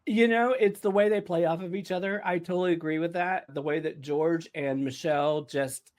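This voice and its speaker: A man's voice: fairly high pitch, fairly even intonation, moderate pace. A man chatting casually and effusively, with emphatic phrasing, as one of the writers on a podcast. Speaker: audio drama writer and podcast host. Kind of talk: casual chat.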